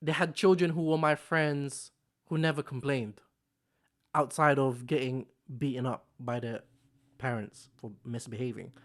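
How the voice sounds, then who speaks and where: A man's voice: medium pitch, fairly even intonation, unhurried. Rambling young man talking to camera. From Philippines. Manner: rambling, repeats himself, frequent "you know what I'm saying". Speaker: vlog host. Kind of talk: casual solo commentary. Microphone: camera microphone.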